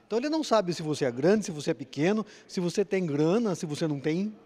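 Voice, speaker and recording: A man's voice: sits high, lively intonation, fast, a little mellow. A man in his fifties presenting and interviewing guests, asking long conversational questions into a handheld mic in a large indoor hall.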